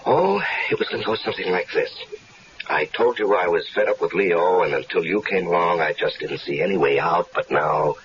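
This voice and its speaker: A wry, deep-voiced stranger. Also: male voice, a little fast, fairly bright tone, a little hiss or hum. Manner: wry, casual storyteller. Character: easygoing stranger caught up in a plot. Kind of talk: 1970s radio drama acting. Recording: dull lo-fi 1970s radio recording.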